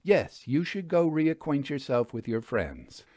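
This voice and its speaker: A man's voice: on the high side, very animated, a little slow, darkish tone, dry recording, clean studio sound, voice pushed up. A man voicing a polite, proper and slightly hesitant woman companion in an audio story. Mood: flustered, obliging. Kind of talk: audio storybook character acting.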